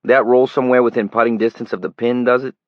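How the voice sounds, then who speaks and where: A man voicing a pushy male tabloid reporter with a flat, nasal Brooklyn accent. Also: mid-range voice, fast, no echo, highs cut off, voice pushed up, lightly acted. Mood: smooth pushy. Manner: pushy, glib salesman patter.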